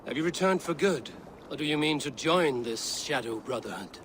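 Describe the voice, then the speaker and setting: An actor playing a bold, blunt, self-assured Viking raider in a video game, with a dry manner. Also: male voice, on the high side, animated, normal speed, a bit bright, a little hiss or hum. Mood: probing. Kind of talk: video game cutscene acting.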